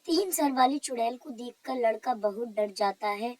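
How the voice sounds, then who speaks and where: A woman's voice: fairly high pitch, moderate pace, a little mellow. A woman narrating a scripted cartoon story.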